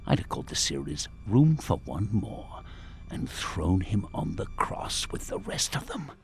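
Casting: a jaded, defensive radio host in dark comedy; low, husky, slow and sardonic. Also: male voice, sing-song, slight background noise, full character voice. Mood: bitter, confiding. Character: jaded, self-important male radio show host, cynical and defensive. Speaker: actor playing a radio host. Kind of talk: darkly comic radio drama acting.

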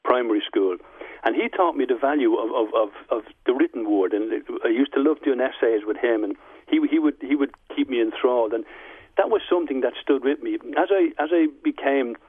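A man in his sixties with a Dublin Irish accent, speaking as a guest in a reflective radio interview, measured and often repeating words as he restarts. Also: mid-range voice, slightly rough, dry recording, phone-quality sound. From Dublin, Ireland. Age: 60s. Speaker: guest, former footballer and manager.

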